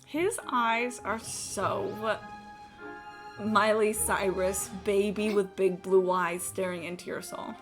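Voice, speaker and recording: A woman's voice: medium pitch, fairly even intonation, slow, over music. A woman live-streaming a video game, chatting casually and reacting in a blunt, sweary, informal way. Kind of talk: casual reacting chat while gaming.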